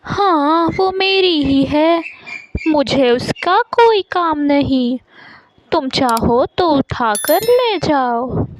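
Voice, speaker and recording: A woman's voice: high-pitched, animated, drawn-out words, a little hiss or hum, pitched above the performer's own voice, exaggerated voice, rough recording. A woman voicing a haughty, irritable female crow in a cartoon, scornful and complaining. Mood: annoyed, dismissive. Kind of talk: Hindi cartoon character voice.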